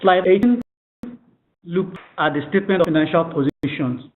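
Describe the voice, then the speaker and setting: A man formally presenting financial results on a conference call, reading figures aloud in a halting way. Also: fairly high pitch, a little hiss or hum. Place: conference call phone line. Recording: tinny, echoey telephone conference line.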